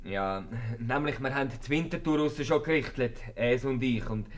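A man's voice: mid-range voice, normal speed, lightly acted. A man playing a naive, stubborn young husband with a Swiss German accent in a 1950s radio comedy. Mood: earnest. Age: young adult.

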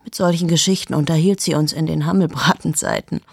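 An actress in her thirties playing a cynical, sharp-tongued journalist in a radio play monologue, speaking in sardonic, confiding asides to the listener. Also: sits low, fairly even intonation, brisk, vocal fry, slightly rough, dry recording, clean studio sound, heavily acted. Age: 30s. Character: cynical 38-year-old woman journalist, sharp-tongued, mocking.

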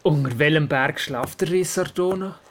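A man's voice: on the high side, moderate pace. An actor with a Swiss German accent playing a curious, imaginative grandson who narrates his adventures in a radio play. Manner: inquisitive, childlike storytelling. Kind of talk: scripted first-person radio play narration.

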